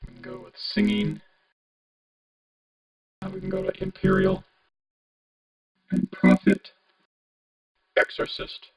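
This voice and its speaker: A man's voice: monotone, takes it slowly, long pauses, recorded from a distance, low-quality recording. A man voicing a modulated, Darth Vader-like voice through a real-time voice changer and throat microphone. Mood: demonstrating. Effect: real-time voice changer, pitch shifted and modulated. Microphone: throat microphone through phone app and speaker.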